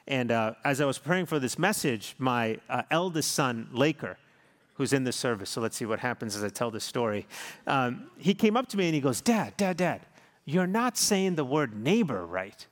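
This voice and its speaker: Pastor preaching in a reverberant church. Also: male voice, medium pitch, sing-song, normal speed. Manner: warm storytelling, earnest pastoral delivery. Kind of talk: sermon with closing prayer. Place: large church sanctuary. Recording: long hall reverb.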